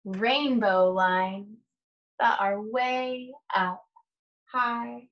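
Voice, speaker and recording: A woman's voice: mid-range voice, extremely slow, muffled recording. A young adult woman, an art teacher, leading a read-aloud lesson for children with simple questions and prompts.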